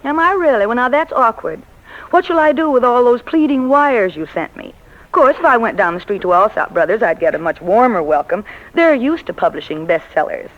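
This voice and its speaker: An actress playing a sly, teasing secretary in a 1940s radio comedy-drama. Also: female voice, on the high side, expressive, fairly quick, lightly acted. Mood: cool, needling. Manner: wry, cool, teasing. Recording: muffled old 1940s radio recording.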